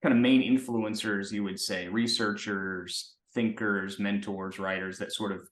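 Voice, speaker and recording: A man's voice: fairly low pitch, level tone, unhurried. A man co-hosting a podcast as a consultant, asking questions in a rambling, hesitant way. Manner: rambling, hesitant, searching for words. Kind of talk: casual interview chat. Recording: roomy echo.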